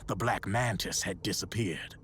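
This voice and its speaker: An actor playing a gruff male ally who tells dark war tales in a measured, wry, dramatic way for a video game. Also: low voice, a little hiss or hum. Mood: grim storytelling. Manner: measured, wry, calls listener pal. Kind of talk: dramatic storytelling narration.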